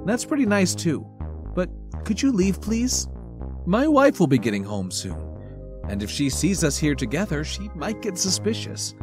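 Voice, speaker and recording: A man's voice: high voice, sing-song, normal speed, over music, voice pushed up. A man voicing a flustered, indignant homeowner in an audio short story. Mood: flustered pleading. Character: flustered, indignant male homeowner narrating his absurd ordeal.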